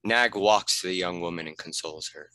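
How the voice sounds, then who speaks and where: A man's voice: low-pitched, brisk, bright, forceful tone, dry recording. A man giving scripted narration, reading out the stage directions of a screenplay.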